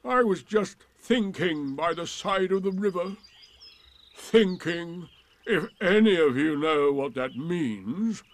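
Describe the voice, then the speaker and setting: A man's voice: high-pitched, lively intonation, slow, pitched above the performer's own voice. A man voicing a gloomy, sulky old male donkey in a storybook reading, with a mournful, sarcastic drawl.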